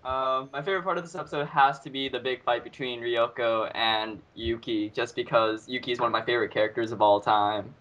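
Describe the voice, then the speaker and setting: Bright male voice, lo-fi recording. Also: medium pitch, steady tone, a little fast, few pauses. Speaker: fandub voice actor. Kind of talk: casual commentary.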